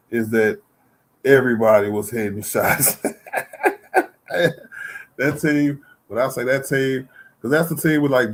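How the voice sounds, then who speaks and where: A man hosting a live sports stream, laid-back and full of slang, casually chatting about basketball. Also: mid-range voice. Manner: laid-back slang, calls people dog and bro.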